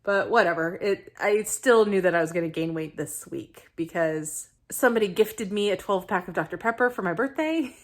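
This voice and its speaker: A woman in her thirties vlogging casually to camera, her voice raspy from a lingering illness, chatty and self-deprecating. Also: mid-range voice, normal speed, hardly any pauses. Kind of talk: casual weight-loss vlog to camera.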